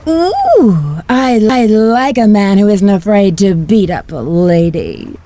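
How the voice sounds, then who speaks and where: An actress playing a flirtatious, cruel mercenary villainess in a video game, taunting and gloating theatrically. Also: female voice, medium pitch, very animated, slow, mellow. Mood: mocking.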